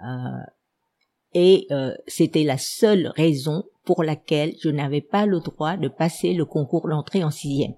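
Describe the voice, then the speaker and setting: A woman's voice: sits low, unhurried, dark tone. An older woman whose first language is Vietnamese, a historian telling her childhood memories in a reflective way with many hesitations. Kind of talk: personal memoir storytelling.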